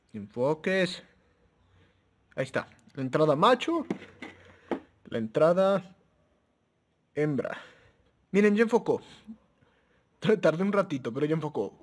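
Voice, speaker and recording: Male video reviewer, lightly high and rough-edged voice, informal demo delivery with halting repeats and self-corrections. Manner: hesitant, repeats words and self-corrects often. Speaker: presenter of a gadget review video. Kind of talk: casual product review, informal demonstration. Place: outdoors.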